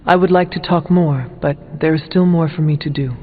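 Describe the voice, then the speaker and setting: Earnest male warrior confidant, male actor, careful formal diction, slightly high pitch, game dialogue with music underneath. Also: fairly even intonation, a little fast, lightly acted. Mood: frank, supportive. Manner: formal, careful, avoids contractions. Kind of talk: video game character dialogue. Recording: muffled phone-like band, music behind.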